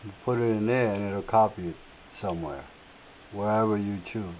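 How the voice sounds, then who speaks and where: A man with a slightly raspy voice, hosting a software tutorial and explaining each step in an unhurried way with filler words. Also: on the low side. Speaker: tutorial host. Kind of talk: screen-share software tutorial. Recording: tinny lo-fi audio with faint hiss.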